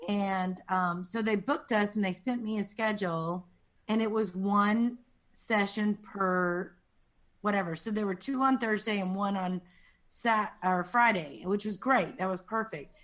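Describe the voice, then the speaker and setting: A woman with a deep, raspy voice, a quilting teacher chatting casually as a guest. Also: measured pace, fairly bright tone. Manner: chatty, tells anecdotes with quoted asides. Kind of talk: casual chat.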